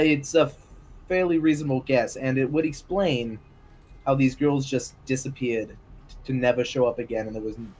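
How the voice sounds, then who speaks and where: Casting: man as shaken but wry male survivor, tabletop game, halting, matter-of-fact, mid-range voice. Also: mid-range voice, darkish tone, natural voice, rough recording. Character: wry, shaken man recounting his ordeal.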